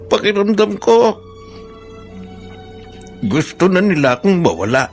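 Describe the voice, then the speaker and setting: Lalaking gumaganap na malungkot na matandang lolo, may musika. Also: male voice, sits high, sing-song, unhurried, heavily acted. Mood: hurt, bitter. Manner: plaintive, sorrowful. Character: lonely elderly widowed grandfather, hurt and self-pitying. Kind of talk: Filipino radio-style drama acting. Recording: music under the voice.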